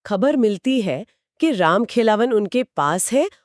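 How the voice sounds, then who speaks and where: Woman, medium pitch, story-retelling co-host, scripted narration, dry sound without reverb. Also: very animated, slow, a little mellow. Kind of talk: scripted narration in a two-person discussion.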